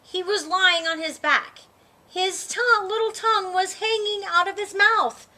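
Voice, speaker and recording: A woman reading a children's book aloud as storyteller, narrating in character with expressive, dramatic emphasis. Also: very high voice, unhurried.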